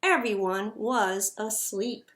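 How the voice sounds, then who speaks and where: A woman reading a picture book aloud to children in an expressive, dramatic storyteller's manner. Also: mid-range voice, slow pace.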